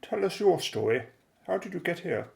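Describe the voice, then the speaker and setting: Eccentric pilot adventurer, male actor, higher-pitched, gravelly creak, formal, earnest, radio drama. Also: very animated, moderate pace, a little mellow, exaggerated voice. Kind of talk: radio play acting.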